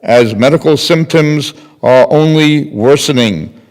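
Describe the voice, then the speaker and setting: A man's voice: fairly high pitch, unhurried, a little mellow. An older man in his sixties giving a scripted reading of testimony at a lectern. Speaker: readers theater performer. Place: temple sanctuary at a lectern. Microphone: boom mic on a stand at lectern. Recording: echoey hall with long reverb.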